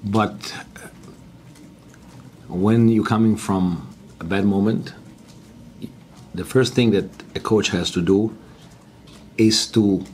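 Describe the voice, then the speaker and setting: A man's voice: lowish voice, slight background noise. A man, a basketball coach answering press questions bluntly in broken English.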